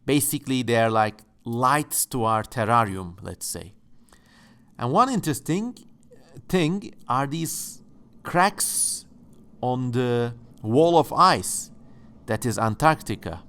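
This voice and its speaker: A man speaking English hosts his channel from home, his voice sitting at an ordinary middle pitch. He muses along casually and playfully, with a tongue-in-cheek, joking manner. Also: lively intonation, slow pace, fairly bright tone, clear, resonant tone. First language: Turkish. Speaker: channel host. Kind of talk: casual exploratory commentary.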